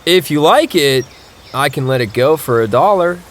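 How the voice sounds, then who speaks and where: An actor playing a laid-back, spacey 1970s hippie in a comic audio drama, with a drawling stoner manner. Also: male voice, a little high, expressive, normal speed. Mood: easygoing. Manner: drawling, mellow stoner talk.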